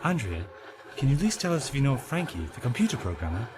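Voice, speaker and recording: An actor playing an earnest, principled doctor and adventuring hero in an audio drama, explaining things carefully. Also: male voice, mid-range voice, sing-song, fast, background noise, natural voice. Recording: loud music under the dialogue.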